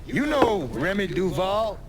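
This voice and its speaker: An actor playing a brash older American mob lieutenant with blunt, profane, folksy tough talk in a game cutscene. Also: male voice, high voice, sing-song, slow pace, background noise, full character voice. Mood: frank, bitter. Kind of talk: video game cutscene acting. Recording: loud music behind the voice.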